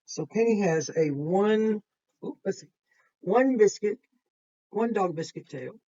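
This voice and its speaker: A woman's voice: medium pitch, animated, measured pace, warm tone, highs cut off. A woman kindergarten teacher reading a picture book aloud to young children and explaining it simply as she goes.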